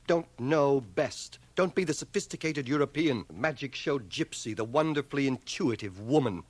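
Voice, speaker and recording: A man's voice: mid-range voice, lively intonation, normal speed, no echo, lightly acted. A man playing a proud, indignant fifty-year-old bachelor in a radio drama, with a stiff, wounded manner. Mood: bitter, indignant. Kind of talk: 1980 radio drama acting. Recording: noisy home cassette of 1980 radio drama.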